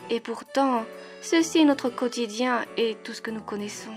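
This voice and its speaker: Woman as a high-voiced gracious duchess. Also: soft background music, natural voice. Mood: explanatory, reassuring. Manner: refined, polite, airy, drawn-out. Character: gracious, effusive aristocratic duchess in steampunk manor. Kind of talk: French audio roleplay acting.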